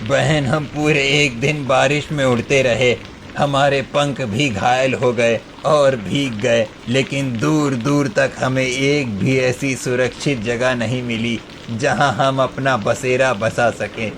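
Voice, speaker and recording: A man's voice: medium pitch, steady tone, slow pace, fairly bright tone, slight background noise. A man voicing a grown male crow who is blunt and gloomy in a cartoon story. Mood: weary. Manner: blunt, familiar.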